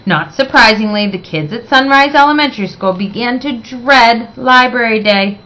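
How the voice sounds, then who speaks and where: A woman reading a picture book aloud in an expressive, deliberate way, stressing words for young listeners. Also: on the high side, low-quality recording. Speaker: children's story reader.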